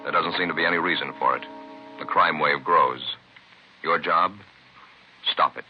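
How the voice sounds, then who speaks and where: A man's voice: extremely low pitch, normal speed. A man performing as a grave, authoritative American announcer in the scripted style of 1950s radio. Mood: grave, urgent. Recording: muffled vintage radio recording, faint music.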